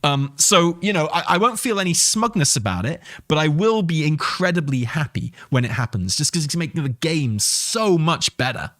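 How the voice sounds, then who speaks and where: A man's voice: on the high side, lively intonation, normal speed, few pauses, fairly bright tone, no echo, clean studio sound. A man giving casual, enthusiastic gaming commentary, thinking aloud in an emphatic, conversational way and repeating himself for emphasis. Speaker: video game commentator and host.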